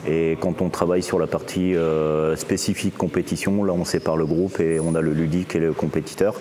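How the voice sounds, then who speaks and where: A man's voice: a bass voice, flat delivery, brisk, warm tone, a little hiss or hum. A man who coaches at a boxing club answers interview questions, hesitating often with fillers and restarts.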